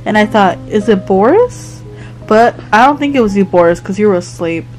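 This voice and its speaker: A woman's voice: medium pitch, expressive, moderate pace, a little mellow, natural voice, low-quality recording. Woman playing a playful storytelling plush toy over music. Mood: playfully spooky. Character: friendly, playful plush toy telling spooky stories. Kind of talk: playful puppet-play storytelling for children.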